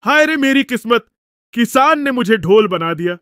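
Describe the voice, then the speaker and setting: Foolish male cartoon donkey, very high and bright, slow and boastful, excitable. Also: dry recording, clean studio sound, full character voice. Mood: woeful. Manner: boastful, excitable, sings loudly off-key. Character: cheerful foolish male donkey who loves singing. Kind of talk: cartoon voice acting.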